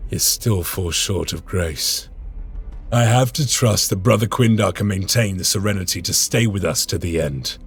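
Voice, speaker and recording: Deep gravelly space marine commander. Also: male voice. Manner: solemn, measured, formal. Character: grave weary space marine commander, duty-bound and resolute. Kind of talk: dramatic scripted game acting.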